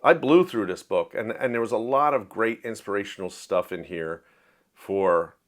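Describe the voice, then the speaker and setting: A man with a slightly low voice chats through the books he has read, keen and explanatory. He speaks in an easy, conversational flow, like a writer sharing favourites with his viewers. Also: animated, moderate pace. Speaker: book reviewer and writer. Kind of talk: casual monthly reading wrap-up.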